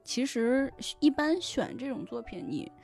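A woman's voice: high voice, big pitch swings, unhurried, gravelly, a little mellow. A woman guest in a casual podcast discussion, explaining things and often rephrasing her points, with music behind her. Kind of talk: casual discussion of novels and their adaptations. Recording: music under the voice. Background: background music.

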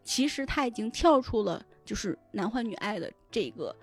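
Chatty, high-voiced woman on a podcast. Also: expressive, moderate pace. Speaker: guest, web-fiction editor. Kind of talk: casual podcast chat. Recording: music behind the voice. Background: music.

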